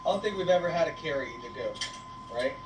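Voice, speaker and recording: A woman's voice: low voice, expressive, quick pace. A woman hosting a casual livestream on a goat farm, playfully teasing and chatting to viewers and animals. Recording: echoey, noisy farm livestream, distant mic.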